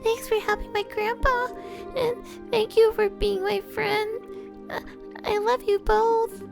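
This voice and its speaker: A woman voicing a sweet, tearful little girl from a fantasy game, speaking in a halting, tender way. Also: very high voice, level tone, creaky, music in the background, pitched above the performer's own voice, exaggerated voice. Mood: tearful, grateful.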